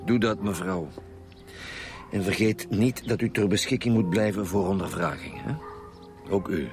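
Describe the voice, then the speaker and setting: An actor playing a stern, weary chief detective in a radio drama, with a Flemish accent and a formal, probing manner. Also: male voice, fairly low pitch, normal speed, music in the background, natural voice. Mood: firm, formal. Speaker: lead police investigator. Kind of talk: naturalistic radio drama acting.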